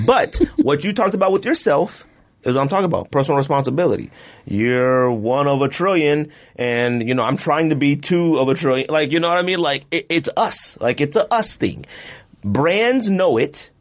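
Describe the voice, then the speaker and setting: A man hosting a podcast in casual chat, slangy and animated, jumping up into high asides. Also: normal speed. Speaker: podcast host.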